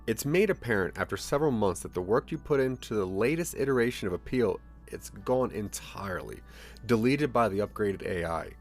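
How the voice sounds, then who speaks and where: Animated male narrator with a lowish voice. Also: brisk, faint music behind. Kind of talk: second-person scripted drama narration.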